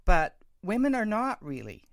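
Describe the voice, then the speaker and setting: Low, gravelly woman interviewer. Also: big pitch swings, normal speed, no room sound, clean studio sound. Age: middle-aged. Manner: enthusiastic, encouraging, informal. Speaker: podcast host and interviewer. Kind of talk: casual conversational interview.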